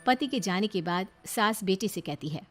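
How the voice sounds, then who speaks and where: A woman's voice: medium pitch, expressive, moderate pace, soft background music. A woman reading scripted, dramatic narration for a moral story.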